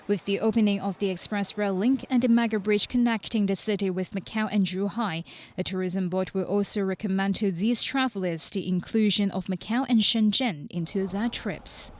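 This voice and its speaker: Female TV news reporter, medium pitch, scripted narration for a broadcast package. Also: steady tone, moderate pace.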